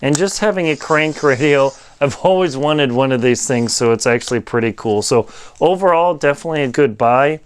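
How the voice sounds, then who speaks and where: A man giving a casual, unscripted product review, talking in a halting way as he thinks aloud while demonstrating. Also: mid-range voice, clean tone.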